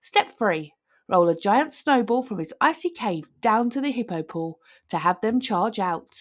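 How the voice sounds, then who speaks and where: Animated woman reading a children's story. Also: medium pitch, a little slow. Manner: theatrical, with stretched words for emphasis. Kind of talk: dramatic read-aloud of a picture book.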